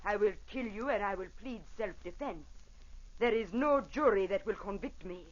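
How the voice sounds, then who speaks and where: An actress plays a wronged Italian mother and opera star, proud and set on revenge. Her Italian-accented speech is formal, deliberate and highly theatrical, in the manner of old radio drama. The vintage recording is dull, with a middling female pitch. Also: natural voice. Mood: menacing, commanding. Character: vengeful Italian opera singer, a wronged mother, fiery and commanding.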